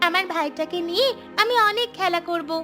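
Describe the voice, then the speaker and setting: A woman's voice: very high-pitched, brisk, bright, forceful tone, soft background music. A woman voicing a small mischievous village child in a cartoon, whiny and pleading. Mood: placating, eager. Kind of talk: cartoon voice acting.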